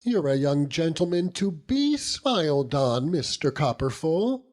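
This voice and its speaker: A man's voice: fairly high pitch, sing-song, unhurried, darkish tone, voice pushed up. A man voicing a nosy, motherly middle-aged housekeeper in an audiobook, with gushing, folksy, uneducated speech. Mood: knowing, encouraging. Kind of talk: dramatised audiobook character reading.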